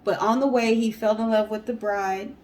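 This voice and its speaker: High-voiced woman telling stories casually. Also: fairly even intonation, normal speed, a little mellow, slight background noise. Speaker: co-host of a storytelling guessing show. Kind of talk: casual storytelling and chat to viewers.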